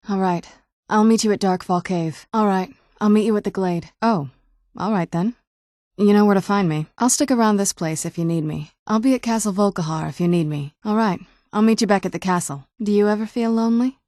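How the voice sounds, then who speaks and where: A woman's voice: medium pitch, warm tone, no room sound, lightly acted. An actress playing a young female vampire companion in a video game, with a dry, guarded, sardonic manner. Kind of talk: video game character voice acting.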